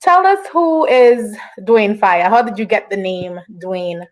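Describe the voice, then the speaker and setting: A woman's voice: mid-range voice, measured pace. Casual woman hosting an online show. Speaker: online interview show host. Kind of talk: casual interview hosting with read-out introductions.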